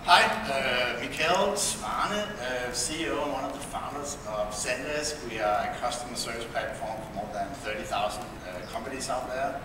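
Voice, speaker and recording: A man with a Danish accent speaking conversationally as a tech founder on a panel, halting at times. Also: mid-range voice. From Denmark. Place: conference panel stage in a large hall. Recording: very echoey hall, distant mic, room noise.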